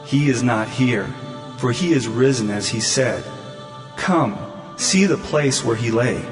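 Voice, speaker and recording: A calm, commanding angel voiced by a man proclaims solemnly in a biblical audio drama. The voice is medium in pitch and rings in long reverb, with music clearly underneath. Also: measured pace, close to an everyday voice. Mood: reassuring, solemn.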